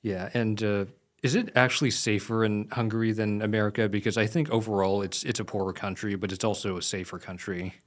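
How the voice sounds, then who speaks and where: Fast-talking man hosting a podcast. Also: fairly low pitch, steady tone. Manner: quick, chatty, many fillers. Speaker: podcast host. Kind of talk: casual interview.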